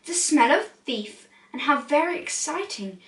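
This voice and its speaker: A woman's voice: sits high, expressive, a little slow, fairly bright tone. A woman reading a storybook aloud expressively for young children. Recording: roomy echo, distant from mic.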